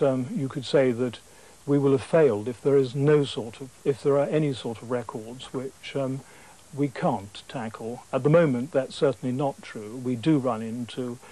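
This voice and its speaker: Mellow, halting middle-aged Englishman. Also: mid-range voice, rough recording. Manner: hesitant, halting phrasing. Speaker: sound archive director interviewed. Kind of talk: formal interview. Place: indoors among archive shelves.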